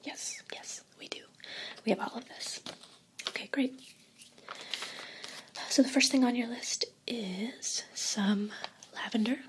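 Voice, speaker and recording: A woman gently whispering an ASMR role play as an attentive, unhurried shop clerk, close to a binaural mic. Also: a little high. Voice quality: breathy whisper. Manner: gentle, unhurried, attentive customer-service patter. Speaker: ASMR performer playing a herb shop clerk. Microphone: close binaural microphone. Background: crinkling and rustling paper sounds.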